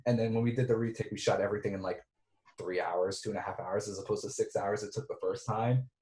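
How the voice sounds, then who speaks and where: Rambling film director in an echoey room. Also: male voice, fairly low pitch, fairly even intonation, fast, a little mellow. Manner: rambling, lots of "like" fillers. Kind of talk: casual reunion chat.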